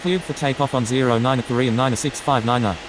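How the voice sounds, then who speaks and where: A man's voice: mid-range voice, brisk, close to an everyday voice. A man playing a plain-spoken airline pilot giving procedural radio readbacks in a simulator game. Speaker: pilot on air traffic radio. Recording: clearly noisy, steady background hum.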